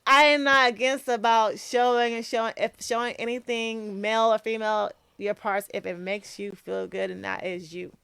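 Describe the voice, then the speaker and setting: Raspy, slightly tipsy woman. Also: on the high side, fairly even intonation, normal speed, few pauses, fairly bright tone, no room sound. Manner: slurs words, laughs often, talks in run-on thoughts. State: a little tipsy. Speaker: podcast guest. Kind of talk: casual chat.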